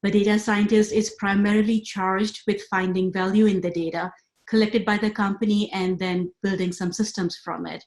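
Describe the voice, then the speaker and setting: A woman in her thirties, from Pakistan, presenting a webinar talk with measured, lecturing delivery and frequent fillers. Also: mid-range voice, flat delivery, darkish tone, echoey room. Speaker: webinar speaker.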